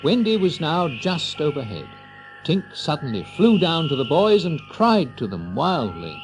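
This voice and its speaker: A man narrating a children's story with an expressive, theatrical storyteller's delivery. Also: fairly high pitch, measured pace, over music.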